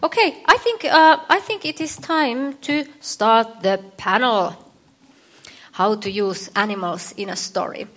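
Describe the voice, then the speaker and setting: A woman from Finland, moderating a convention panel and pausing often to search for words. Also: a little high, fairly bright tone. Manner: hesitant, many fillers and restarts.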